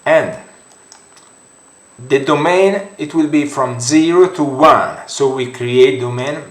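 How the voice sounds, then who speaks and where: A young man with an Italian accent, a non-native English speaker, talking through a software tutorial with many fillers and restarts. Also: mid-range voice, takes it slowly, echoey room. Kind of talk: screen-recorded software tutorial.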